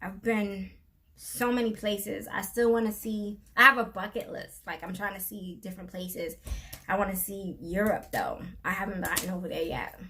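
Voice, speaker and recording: A woman's voice: medium pitch, normal speed, pushed and bright, faint music behind. A middle-aged woman co-hosting a casual live stream, chatty and laughing often as she tells stories.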